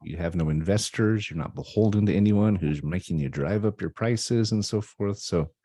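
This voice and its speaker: Low, mellow-voiced talk radio host. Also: male voice, moderate pace, no room sound, studio quality. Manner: upbeat, affirming, often asks "right?". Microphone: video call.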